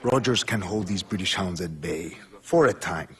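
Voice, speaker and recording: A man playing a menacing colonial-era villain in a game, making measured, veiled threats to a prisoner. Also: sits low, lively intonation, a little hiss or hum. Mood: coolly threatening. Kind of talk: dramatic game cutscene acting.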